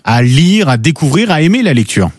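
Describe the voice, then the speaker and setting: A man hosting a morning radio show, interviewing a guest in a friendly, brisk way and reading time checks. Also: fairly high pitch, sing-song, slightly rough. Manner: friendly, brisk, wraps up with announcements. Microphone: studio microphone.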